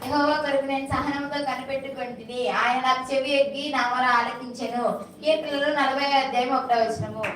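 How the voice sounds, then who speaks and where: A woman's voice: high voice, fairly even intonation, brisk, over loud music. A young woman, a student, speaking in the manner of a memorised recitation. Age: young adult. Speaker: student reciting Bible verses. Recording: echoey hall, distant, noisy.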